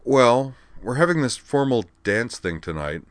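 A man's voice: medium pitch, big pitch swings, unhurried, no room sound, pitched above the performer's own voice. A man voicing an eager, earnest young woman student in an audiobook, talking in a chatty, slightly sheepish way. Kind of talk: audiobook character voice.

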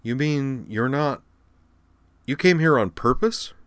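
Casting: earnest young woman performed by a man, easily startled, halting, lifted pitch, for narrated fiction. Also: lively intonation, no room sound.

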